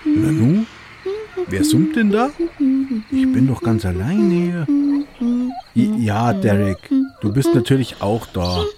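A man's voice: mid-range voice, wildly swooping pitch, takes it slowly, pauses often, background noise. An actor playing an easygoing solitary man who mutters and exclaims to his dog in a German radio play. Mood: puzzled.

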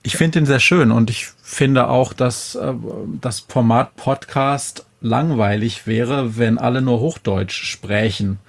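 A man's voice: mid-range voice, slow pace, hardly any pauses. A man taking part in a casual podcast conversation. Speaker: podcast participant.

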